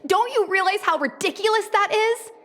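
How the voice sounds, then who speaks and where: A woman's voice: extremely high pitch, normal speed, fairly bright tone. A woman voicing a headstrong, defiant young daughter in a video game, pleading her case with insistent passion. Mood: defiant, frustrated. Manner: insistent, impassioned. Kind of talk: dramatic video game voice acting.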